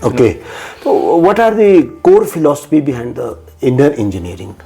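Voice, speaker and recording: A male interviewer who hosts the channel and asks halting questions full of fillers and restarts. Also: medium pitch, lively intonation, creaky, mellow, breathy edge.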